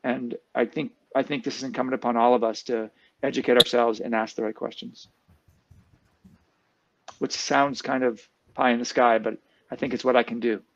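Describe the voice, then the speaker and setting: A man on a panel video call, reflective and halting, with many fillers. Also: mid-range voice, little pitch movement, creaky. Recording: dry, dull video call audio.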